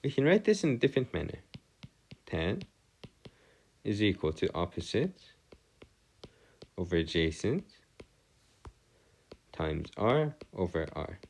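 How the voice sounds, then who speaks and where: A low male voice with widely swinging intonation walks through a lesson as a tutor. His wording is formal and bookish, and he delivers it haltingly, pausing often as he works through the explanation. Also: big pitch swings, vocal fry, darkish tone, slight background noise, poor audio. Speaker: math tutor. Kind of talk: improvised lesson explanation on trigonometry.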